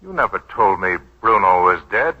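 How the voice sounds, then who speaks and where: An actor playing an anxious, suspicious henchman in a 1940s radio drama, husky and nervously questioning. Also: deep voice, animated, normal speed. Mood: worried. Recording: muffled vintage 1940s radio broadcast.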